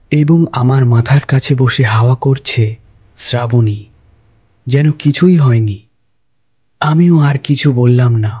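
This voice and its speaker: Slow, mellow male storyteller. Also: mid-range voice. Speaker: narrator. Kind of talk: scripted first-person story narration.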